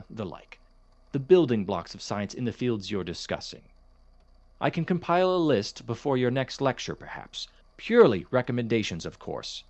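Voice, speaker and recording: A man playing a measured, kindly science professor in an audio drama, formal and precise, hesitant and gently reproving. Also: mid-range voice, no room sound. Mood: encouraging.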